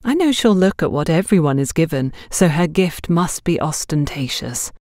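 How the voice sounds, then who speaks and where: A woman voicing a chatty, friendly and decisive female shop customer in a story, with an animated, confiding manner. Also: low voice, moderate pace, dry recording, studio quality.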